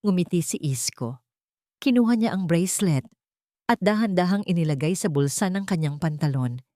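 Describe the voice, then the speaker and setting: Woman, Filipino accent, lower-pitched voice, dry close sound, scripted storytelling, sentimental drama narration. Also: expressive, moderate pace, mellow, no room sound.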